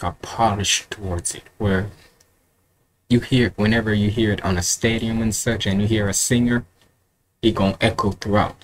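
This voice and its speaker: A man in his twenties giving casual reaction commentary in a rambling, colloquial way, often repeating himself. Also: on the low side. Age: 20s. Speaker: reaction video host. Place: indoor room at home with curtains. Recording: noticeable background noise, home recording.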